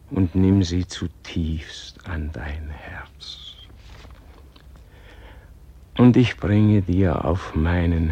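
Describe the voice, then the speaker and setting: An actor playing a dying holy man who prays humbly and fervently in a husky, declamatory radio drama style. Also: deep voice, a little mellow, dry recording, dull audio, full character voice. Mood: pleading, reverent. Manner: declamatory, reverent, swelling from whispers to fervent pleas. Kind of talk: solemn classic radio drama monologue.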